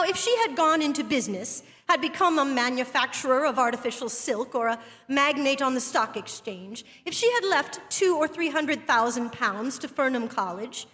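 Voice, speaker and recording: A woman's voice: high voice, fairly bright tone. A woman performing a witty, indignant woman essayist in an emphatic, theatrical stage reading with arch, scornful wit. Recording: echoey theatre hall, long reverb.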